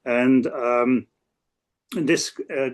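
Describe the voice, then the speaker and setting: A man in his sixties with a Belgian Dutch accent and a mid-range voice talks as a law professor on a webinar panel. He speaks haltingly, often pausing and repeating words, from an office on a video call. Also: medium pitch, fairly even intonation. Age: 60s. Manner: halting, with frequent hesitations and repeats. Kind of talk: introducing own work at a webinar.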